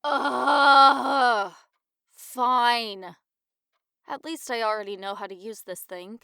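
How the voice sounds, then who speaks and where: A woman plays a young adventuress in a fantasy audio drama. Her voice is fairly high and very dry in sound, with a blunt, casual, teasing sarcasm to it. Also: fairly even intonation, takes it slowly, gravelly, pushed and bright, clear, resonant tone, no room sound, exaggerated voice. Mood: exasperated.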